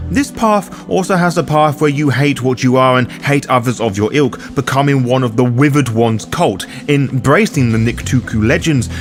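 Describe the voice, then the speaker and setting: A man hosting a game guide, reading scripted explainer narration with a wry, casual manner. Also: mid-range voice. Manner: wry, casually sweary asides. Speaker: host of a roleplaying game guide.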